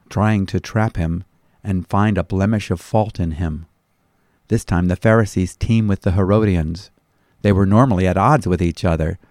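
A man reading a scripted devotional Bible commentary as a pastor, with a measured, teaching delivery. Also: low voice, darkish tone, no room sound, studio quality.

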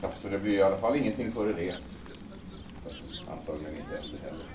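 Male actor as earnest troubled man, low voice, hesitant delivery, noisy distant recording. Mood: relieved, hungry. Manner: hesitant, repeats himself. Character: troubled, sad, earnest man recovering from despair. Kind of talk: naturalistic audio drama acting. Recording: echoey, distant, noisy with birds.

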